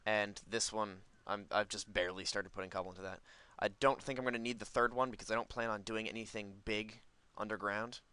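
A man's voice: lowish voice, fairly even intonation, a little fast, few pauses, sharp tone, clean tone, dry recording. A man giving casual let's play commentary, rambling and thinking aloud as host of a gaming video.

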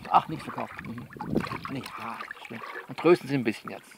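A man playing a frank, worldly coach who talks a female client through things by phone in a blunt, coaxing, colloquial way with repeats and pauses. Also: medium pitch, vocal fry, very noisy, lightly acted. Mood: calm, coaxing. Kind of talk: improvised-sounding one-sided phone monologue in an audio novel.

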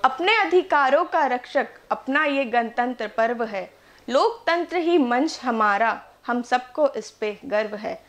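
A woman's voice: high-pitched, lively intonation, a little slow. A woman, presenting scripted news as a studio anchor. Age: 20s.

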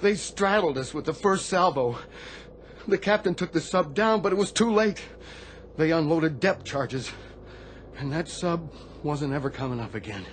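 An actor playing a guilt-ridden, anguished middle-aged Navy sailor in a radio drama, confessing haltingly to his superior. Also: on the high side, animated, slight background noise, natural voice. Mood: haunted, subdued. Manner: halting, confessional, respectful of rank.

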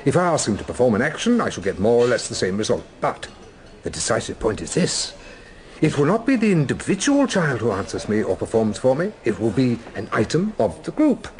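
Radio play: donnish British gentleman scientist, genial, smug, theatrical, very animated delivery. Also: mid-range voice, fairly quick. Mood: lecturing, earnest. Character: genial, self-satisfied older gentleman scientist showing off his experiment. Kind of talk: radio drama acting.

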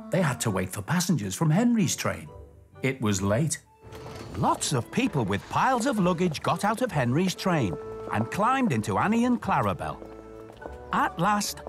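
Very animated male children's storyteller. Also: on the high side. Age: middle-aged. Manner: animated, expressive, clearly enunciated. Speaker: storyteller and presenter playing a station master.

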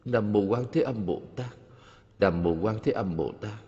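Man acting old sailor, devout, solemn chanting, cartoon dub, lowish pitch, muffled sound. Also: moderate pace, warm tone, natural voice. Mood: solemn, pleading. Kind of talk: animated film dub, Buddhist chanting. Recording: muffled, long hall reverb.

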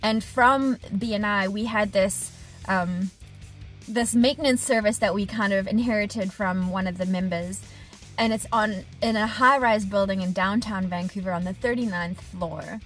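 Woman in her thirties, tradesperson vlogging in her truck, lively and expressive, mid-pitched, faint music behind. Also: normal speed. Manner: animated, expressive, gesturing with hands. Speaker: HVAC technician and business owner. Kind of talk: casual vlog diary. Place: inside a parked truck cab. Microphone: camera on dashboard at arm's length.

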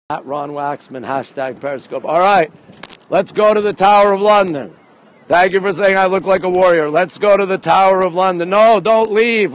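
A middle-aged man hosting a casual walking live stream, chatty and excitable, often repeating himself as he reacts to viewers. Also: high-pitched. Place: outdoors on busy city streets, walking. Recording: outdoor street and traffic noise.

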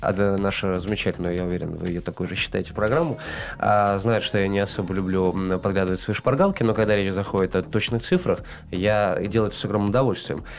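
A man's voice: low voice, steady tone, quick pace, vocal fry. A man in his thirties hosting a studio talk show, asking guests questions in long, elaborate sentences full of fillers. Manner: wordy, elaborate phrasing with many fillers. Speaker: host. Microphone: desk microphone.

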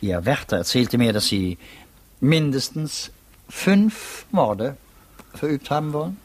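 A courteous male public prosecutor in 1950s radio drama style. His slightly rough voice moves widely in pitch. He questions formally and hesitantly, as if puzzled. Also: sing-song, slow pace. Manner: formal, polite, hesitant probing.

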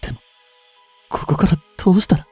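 An actor playing an anguished, devoted young police officer boyfriend in an audio drama, with breathy, halting, emotional delivery. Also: male voice, a little mellow, no echo, faint music behind, lightly acted. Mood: desperate, pleading. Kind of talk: dramatic Japanese situation voice acting.

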